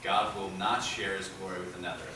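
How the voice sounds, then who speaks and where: A man's voice: low voice, level tone, brisk. A man reading a script dramatically, in the declamatory manner of a preacher. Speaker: reader in a readers' theatre group. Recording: echoey hall, distant mic, loud noise.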